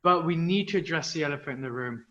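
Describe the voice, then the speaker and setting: A man's voice: fairly high pitch, fast talker, sharp tone, dull audio. A man hosting an interview, asking his guest questions in an earnest, encouraging way. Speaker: host.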